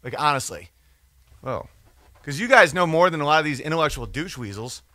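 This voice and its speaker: A man's voice: on the high side, animated, fairly quick, bright, forceful tone, no echo. A man hosting a podcast, talking in a casual, digressive, profane monologue as he reads out and answers comments. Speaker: podcast or livestream host.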